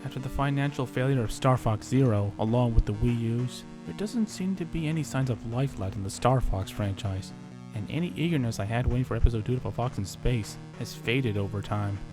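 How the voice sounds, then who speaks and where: A man's voice: mid-range voice, brisk, low-quality recording. A man reading a scripted first-person story as a dramatic narrator, with theatrical storytelling delivery. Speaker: narrator reading his own horror story. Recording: music under the voice. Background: music.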